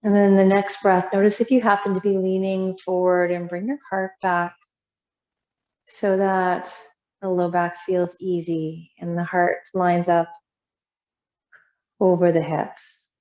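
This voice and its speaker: A woman yoga teacher leading a guided meditation in a soothing, unhurried, gently instructing way with long pauses. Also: lowish voice, flat delivery. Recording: roomy echo, slightly muffled sound.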